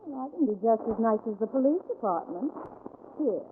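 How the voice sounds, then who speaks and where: An actress plays a kindly, chatty American housewife. Her voice is high and homey. The vintage radio recording is tinny, with light noise. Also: expressive, brisk, natural voice. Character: kindly, chatty, homey young wife soothing a guest. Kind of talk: old-time American radio crime drama acting.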